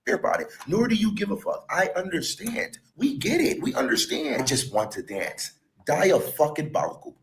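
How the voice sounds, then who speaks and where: A man's voice: high-pitched, big pitch swings, moderate pace, creaky, reverberant, slight background noise. A man in a played clip giving mocking, emphatic commentary. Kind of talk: sarcastic video commentary.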